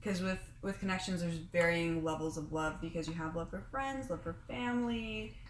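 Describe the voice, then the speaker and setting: A woman's voice: fairly low pitch, normal speed, slight background noise. Young woman hosting, echoey room. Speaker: podcast host. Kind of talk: casual chat. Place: small room with friends.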